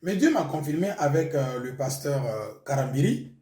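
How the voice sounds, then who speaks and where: A man's voice: mid-range voice, animated, normal speed, mellow, roomy sound, recorded from a distance. A man from Burkina Faso whose first language is Mooré, a pastor giving his testimony in a hesitant way with many fillers. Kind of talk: testimony interview.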